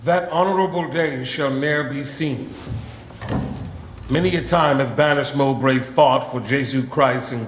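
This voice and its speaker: A man's voice: medium pitch, natural voice. Man acting a grave, echoing bishop. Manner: solemn, measured. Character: grave bishop reporting a banished lord's death. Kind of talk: Shakespearean radio acting. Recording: echoey radio drama room sound.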